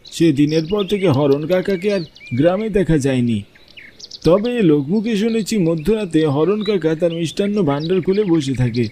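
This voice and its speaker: Man acting a high-pitched kindly village cleric. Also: animated, dark tone, a little hiss or hum, close to an everyday voice. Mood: solemn recounting. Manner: reassuring, measured storytelling. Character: kindly pious village cleric recounting local tragedy.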